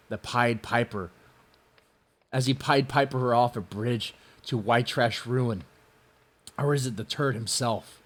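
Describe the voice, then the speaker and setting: A male host delivers mocking commentary in a mid-pitched voice. His delivery is sardonic and theatrical, with an animated rise and fall. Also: breathy edge. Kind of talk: mocking commentary on a post he reads.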